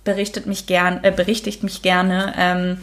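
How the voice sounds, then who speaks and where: A woman's voice: lowish voice, monotone, moderate pace. German woman hosting a true crime podcast. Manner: casual, many fillers, reads case notes aloud. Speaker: podcast host.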